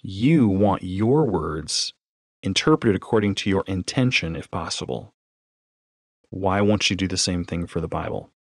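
A man's voice: low-pitched, unhurried, dry recording, studio quality. A man hosting a podcast, teaching conversationally through anecdotes, acting out dialogue and posing rhetorical questions. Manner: animated storyteller, acts out dialogue, asks rhetorical questions. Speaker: podcast host.

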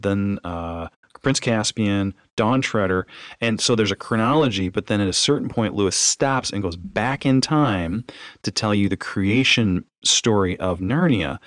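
A man with a fairly low voice co-hosts a casual podcast about books. He addresses listeners directly and stresses his points. The recording is dry, free of room sound. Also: dry recording. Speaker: podcast co-host.

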